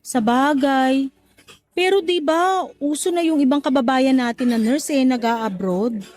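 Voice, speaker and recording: High-voiced young Filipino single mother. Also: measured pace, darkish tone. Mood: friendly, curious. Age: young adult. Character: young single mother, frank and feisty, chatty. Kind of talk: Tagalog radio drama acting.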